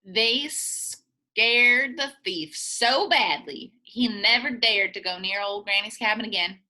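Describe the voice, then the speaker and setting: A woman reading a children's picture book aloud in a playful, expressive way, as a teacher does for young listeners. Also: medium pitch, takes it slowly. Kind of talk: animated children's storybook read-aloud.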